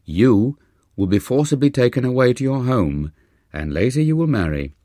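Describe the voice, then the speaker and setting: A man playing a grave, prophetic yogi teacher in an audiobook, speaking solemnly and deliberately. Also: mid-range voice, expressive, dark tone, no echo, studio quality, pitched above the performer's own voice, lightly acted. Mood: solemn foretelling.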